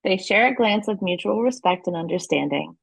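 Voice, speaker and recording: A woman's voice: mid-range voice, lively intonation, brisk, vocal fry, slightly rough, no room sound. A woman narrating an audio play, reading scripted stage action and scene settings.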